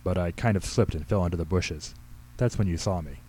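A man's voice: low-pitched, a little fast, darkish tone, husky. A man voicing an anxious, sheepish middle-aged small-town pastor, earnest and embarrassed, in a story reading.

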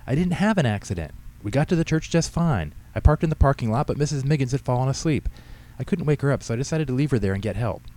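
Man voicing an indignant teenage girl, pitched up. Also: very animated, fast, dark tone. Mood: exasperated, insistent. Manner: insistent, protesting. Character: indignant, defensive teenage girl, pastor's daughter. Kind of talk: audiobook character dialogue.

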